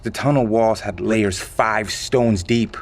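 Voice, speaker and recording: Man acting as low-voiced, measured, evasive insider. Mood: expository, intrigued. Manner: measured, expository, matter-of-fact. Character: evasive male insider calmly briefing on a secret catastrophe. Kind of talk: audio drama dialogue.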